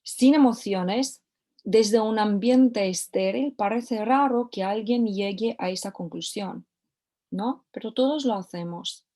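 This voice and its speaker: A woman's voice: mid-range voice, slow, warm tone. A woman teaching a recorded course lesson, often repeating key phrases for emphasis. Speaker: course instructor.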